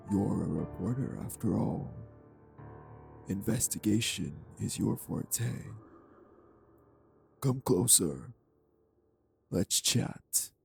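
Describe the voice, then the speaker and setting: A deep, heavily creaky male vampire, voiced by an actor for an audio roleplay. He is urbane and menacing, choosing his formal words slowly and with condescension, over music. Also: a little mellow, full character voice. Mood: smooth threatening. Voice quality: gravelly, low. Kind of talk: audio roleplay drama acting.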